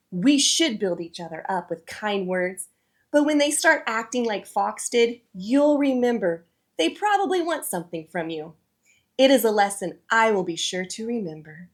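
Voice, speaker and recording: A woman with a fairly high voice presents a children's read-aloud show. She speaks to her young audience in a scripted, direct style.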